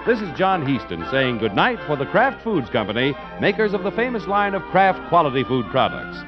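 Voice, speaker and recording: A male announcer reading a 1940s radio commercial and credits in a crisp, emphatic way. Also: on the high side, big pitch swings, normal speed. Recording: dull vintage radio broadcast with music.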